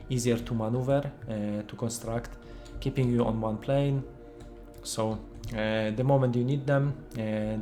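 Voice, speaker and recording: A man with a Polish accent, a native Polish speaker, casually commenting on a game he is playing while thinking aloud with many fillers and pauses. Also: mid-range voice, dark tone. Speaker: game developer streaming gameplay.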